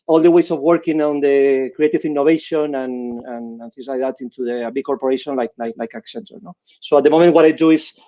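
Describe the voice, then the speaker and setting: A man in his fifties with a Spanish accent and a fairly high but mellow voice, his sound coming through dull, lo-fi call audio. He restarts his phrases often and keeps checking in with a questioning "no?". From Spain. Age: 50s. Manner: hesitant, repeats words, tags sentences with "no?". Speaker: panelist.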